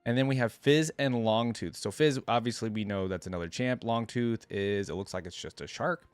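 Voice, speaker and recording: Low-voiced man giving casual reaction commentary. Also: fairly quick, clean tone, dry recording. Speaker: reaction video creator and commentator.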